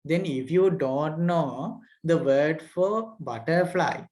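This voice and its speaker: A man's voice: fairly high pitch, takes it slowly, darkish tone. Sri Lankan man lecturing, keeps checking "right?". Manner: asks rhetorical questions and adds "right?" checks; repeats key points, restarts sentences. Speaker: English teacher. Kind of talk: spoken lesson, lecturing students.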